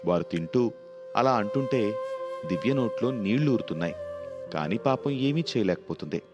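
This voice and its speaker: Guy narrating a kids moral story, lowish voice, music playing behind. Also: expressive, moderate pace.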